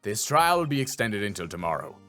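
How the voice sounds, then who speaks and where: A man voicing a stern, formal old trial judge from a video game, slow-witted and ponderous. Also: fairly low pitch, big pitch swings, bright, forceful tone, faint music behind, pitched below the performer's own voice.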